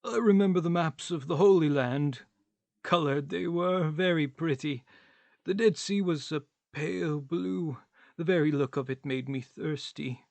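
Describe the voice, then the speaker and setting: A man acts out an irritable, world-weary tramp in a radio play. The voice sits high and moves slowly, terse and grumbling, full of contrary questions. The sound is very dry. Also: gravelly, a little mellow, no echo. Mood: irritable, wistful.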